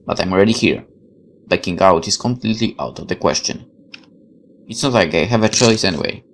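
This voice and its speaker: A man's voice: low voice, fairly quick, a little hiss or hum. A man playing a game for viewers, reading visual novel narration aloud like a dramatic storyteller and adding musing commentary. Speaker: let's player.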